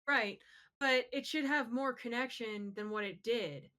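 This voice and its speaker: A woman's voice: on the high side, unhurried, bright, forceful tone. A woman co-hosting a podcast, casually explaining her opinions on films and games with the odd swear. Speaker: podcast co-host. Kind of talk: casual discussion of film and games.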